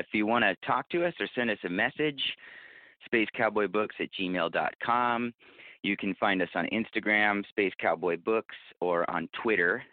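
A man's voice: on the low side, dry recording. A man being interviewed as a podcast producer, talking conversationally and explaining things through anecdotes. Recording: phone-like narrow-band connection, dry.

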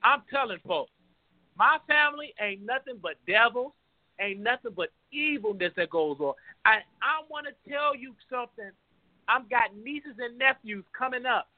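A man's voice: high voice, no room sound. A man in his twenties calling in to a talk show, speaking with passion in casual vernacular. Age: 20s. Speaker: caller. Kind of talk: emotional call-in testimony. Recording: tinny phone line.

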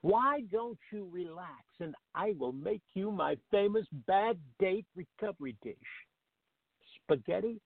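Guy doing dopey cheerful roommate actor in a radio farce, slow n high, earnest, muffled phone sound. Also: animated, creaky, no echo, exaggerated voice. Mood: eager, goofy. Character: dim, cheerful young bachelor and aspiring actor. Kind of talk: farce radio play acting. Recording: muffled, phone-like narrow-band audio.

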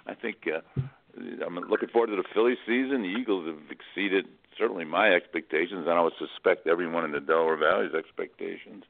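Man, gravelly low voice, halting delivery with repeated words, casual sports talk, thin band-limited line. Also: phone-quality sound, slight background noise. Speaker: guest sports columnist.

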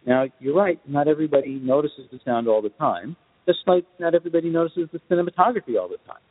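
Husky man on a tinny, reverberant line. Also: a little high, lively intonation, fast. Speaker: guest film composer. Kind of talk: explanatory podcast discussion.

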